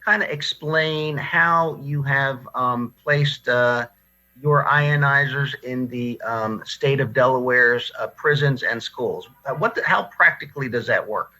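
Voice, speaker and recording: A man chairing a remote hearing over a video call, putting questions in a halting, plain-spoken way. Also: medium pitch, bright, forceful tone, poor audio. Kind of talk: questioning a witness at a formal hearing.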